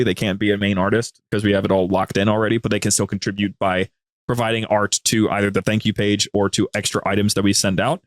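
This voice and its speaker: A man's voice: lowish voice, no room sound. A man chatting casually as a podcast guest, telling stories in a rambling, emphatic way. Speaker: podcast guest, co-host of a gaming media group. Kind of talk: casual chat, storytelling.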